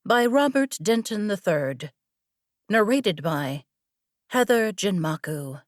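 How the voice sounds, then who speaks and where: A woman narrating scripted fiction with a measured, deliberate storytelling delivery. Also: mid-range voice, animated, no echo, studio quality. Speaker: audiobook narrator.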